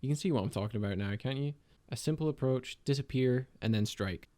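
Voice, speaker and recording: A man giving a scripted voice-over about his own 3D animation project, in a measured, explanatory and lightly self-deprecating manner. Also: mid-range voice, dark tone. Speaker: video creator and 3D animator.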